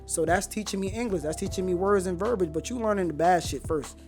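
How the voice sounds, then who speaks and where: A man in his twenties from Haiti, a Creole speaker, telling his story casually as a podcast guest in an animated, slangy, profane way. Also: high voice, fast, faint music behind. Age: 20s.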